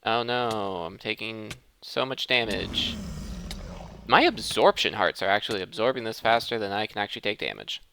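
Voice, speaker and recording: A man's voice: on the low side, normal speed, gravelly, pushed and bright, slight background noise. A man giving casual, playful gameplay commentary as the host of a let's play.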